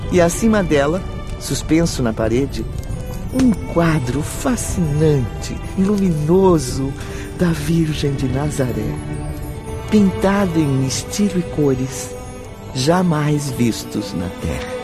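An actor as the solemn narrator of a spiritual radio drama, speaking formal Portuguese dramatically with a Brazilian accent. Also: male voice, fairly high pitch, sing-song, slow pace, over music. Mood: solemn, admiring. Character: solemn male storyteller of a spiritual tale.

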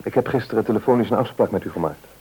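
A man's voice: fairly low pitch, rapid-fire, warm tone, close to an everyday voice. An actor playing a polite, businesslike married man in an old Dutch radio play, courteous and formal. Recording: old radio recording with faint noise.

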